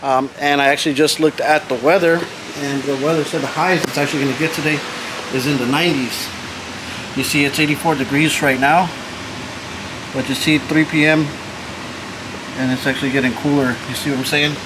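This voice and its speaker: A man with a slightly high voice talks viewers through a hands-on job in a casual vlog. He is informal and slangy and tends to repeat himself. Outdoor ambience and vehicle noise come through clearly around his voice. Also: fairly even intonation, brisk, long pauses. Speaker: host of a DIY car audio video channel. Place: backyard, outdoors. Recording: noisy outdoor recording, vehicle and ambient sounds.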